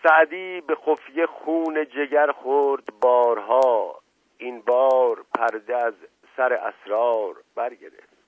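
A man's voice: medium pitch, animated, breathy edge. A man voicing a wily aristocratic suspect in a story, mock-bashful, sighing and theatrically lovelorn. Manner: halting, sighing, melodramatic. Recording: roomy lo-fi radio-like narrow band.

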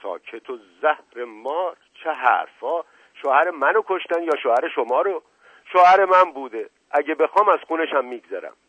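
Casting: male narrator as melodramatic storybook matron, coquettish and domineering, raised husky voice, thin roomy recording. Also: medium pitch, animated, voice pushed up, close to an everyday voice. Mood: indignant. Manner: wailing endearments, sharp retorts. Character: volatile, coquettish middle-aged wife, dramatic and domineering. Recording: roomy lo-fi radio-like narrow band.

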